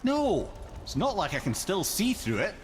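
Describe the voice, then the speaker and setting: An actor with a Scottish accent playing a wry, learned, chatty storyteller companion in a video game. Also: male voice, high-pitched, wildly swooping pitch, normal speed, a little hiss or hum. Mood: dry, genial. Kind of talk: video game character acting.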